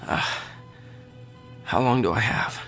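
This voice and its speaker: An actor with an American accent playing a vain, self-assured celebrity doctor in an audio drama. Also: male voice, on the low side, slow, a bit bright, soft background music. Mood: weak, shaken.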